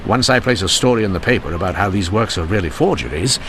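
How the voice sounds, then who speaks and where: Lively, bright-voiced period police inspector. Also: male voice, low voice, quick pace, slight background noise. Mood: confident, accusing. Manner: wry, theatrical, formally polite. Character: witty, dogged male 1890s police inspector. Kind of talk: old-time radio mystery acting.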